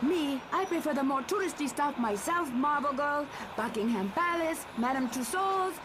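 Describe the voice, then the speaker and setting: A woman's voice: high-pitched, expressive, moderate pace. A young woman acting a sassy, teasing superheroine in a motion comic. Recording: clear background ambience noise.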